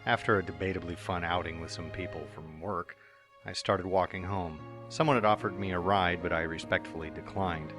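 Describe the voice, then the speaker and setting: A man's voice: sits low, fairly even intonation, a little fast. A man reading a fan story aloud, narrating in an earnest first-person manner. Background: faint background music.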